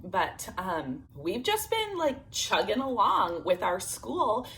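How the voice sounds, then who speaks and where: A woman's voice: mid-range voice, sing-song, takes it slowly, fairly bright tone, slight background noise, poor audio. A woman in her thirties chatting to camera as a homeschool vlogger, upbeat and full of fillers, speaking through a sore throat. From Illinois, United States. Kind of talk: casual chat to camera.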